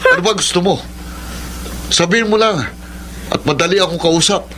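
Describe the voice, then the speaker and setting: A man's voice: high-pitched, moderate pace, fairly bright tone, a little hiss or hum. An actor playing a weary, exasperated young Filipino man fed up with his jealous girlfriend in a radio drama. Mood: exasperated, arguing. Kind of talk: Filipino radio drama acting and letter narration.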